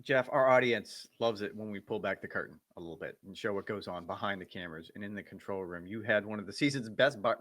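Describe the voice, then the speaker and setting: A man hosting a podcast who asks interview questions in a brisk, upbeat way. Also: medium pitch, pushed and bright, no room sound. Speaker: podcast host.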